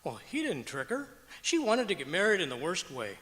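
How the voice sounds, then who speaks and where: A man's voice: high voice, huge pitch swings, a little fast, bright, forceful tone, exaggerated voice. A man playing a sarcastic, grumbling husband who delivers sardonic punchlines in an old-time radio comedy on stage. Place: stage of a performing arts auditorium. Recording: echoey auditorium with long reverb.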